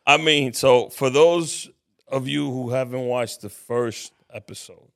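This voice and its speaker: Gravelly-voiced man hosting a podcast. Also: medium pitch, measured pace, a bit bright. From Harlem, New York. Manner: joking, laughs often. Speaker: podcast host. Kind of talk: casual interview chat.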